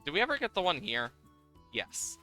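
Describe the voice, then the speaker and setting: A male game streamer with a very bright, punchy voice that swings widely in pitch. He comments casually as he plays and talks to his viewers, with music playing underneath. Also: moderate pace. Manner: animated, exclamatory, swearing.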